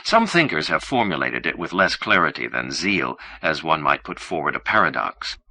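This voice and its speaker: A man reading literary fiction as an audiobook narrator, in a measured, formal and precise manner. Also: a bass voice, big pitch swings, pushed and bright, no echo.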